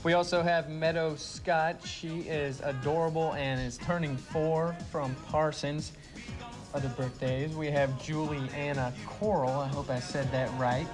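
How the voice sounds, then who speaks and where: Higher-voiced male TV weatherman. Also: steady tone, unhurried. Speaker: TV meteorologist and co-presenter. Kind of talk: ad-libbed morning weather forecast and banter. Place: TV studio. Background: faint music, director's cues talking over him.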